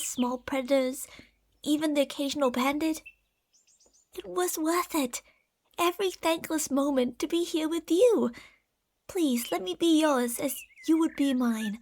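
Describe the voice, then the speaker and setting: A woman playing a possessive, tender but deceitful lamia in an ASMR roleplay, speaking softly and formally, at times slipping into whispers. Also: sits high, slight background noise. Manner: soft, formal, soothing speech with breathy whispers.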